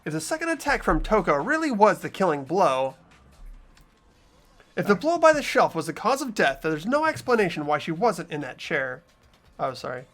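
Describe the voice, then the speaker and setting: Player as an earnest young sleuth, animated pitch. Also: normal speed, soft background music. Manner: earnest, reasoning aloud. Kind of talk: fan voice acting of a game.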